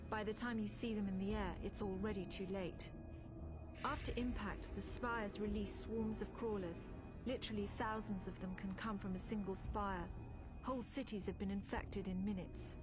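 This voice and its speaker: Actress as composed lady officer telling a war story, serious n measured, music under it, bit dull sound. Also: mid-range voice, fairly even intonation, natural voice. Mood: grave, measured. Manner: measured, grave, matter-of-fact. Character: composed British female officer recounting a war. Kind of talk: scripted narration. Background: clear background music.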